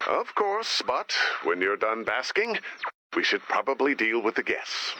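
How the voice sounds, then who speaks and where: A man's voice: medium pitch, wildly swooping pitch, measured pace, bright, forceful tone, no echo, heavily acted, poor audio. A man voicing a fussy, flustered robot director in a video game, earnest and fretful about safety. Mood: anxious. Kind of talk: video game character dialogue.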